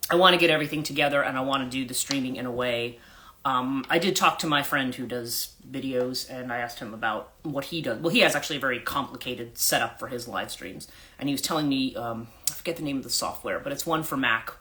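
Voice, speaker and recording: A woman chats casually to her livestream viewers, greeting them as they join. Her voice is husky and congested from allergies, with a bright, forward edge that cuts through. She rambles gently with many fillers and keeps clearing her throat. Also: fairly quick. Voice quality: husky, a register lower than usual. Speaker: life coach hosting a livestream. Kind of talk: casual morning livestream chat.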